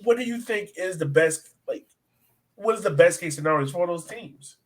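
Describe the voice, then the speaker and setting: A man's voice: high voice, lively intonation, normal speed, low-quality recording. A man hosting a sports podcast, chatting informally and repeating phrases for emphasis. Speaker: soccer podcast host. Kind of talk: casual sports discussion.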